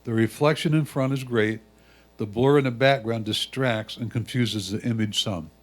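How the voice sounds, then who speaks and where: Man, mid-range pitch, reading judge's comments aloud, measured, deliberate. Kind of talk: reading written critique aloud.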